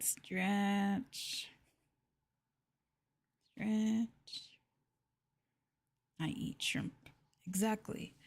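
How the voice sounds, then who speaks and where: A woman hosting an ASMR livestream, chatting casually and gently in a soft, breathy voice that often drops into a whisper, close to the mic. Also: mid-range voice. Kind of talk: soft-spoken ASMR casual chat with viewers. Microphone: close ASMR microphone.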